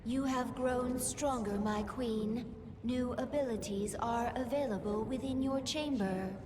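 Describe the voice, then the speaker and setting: An actress voicing a devoted alien advisor to a swarm queen in a video game, solemn, reverent and measured. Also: a little high, background noise, natural voice. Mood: reverent, curious. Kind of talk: dramatic video game acting.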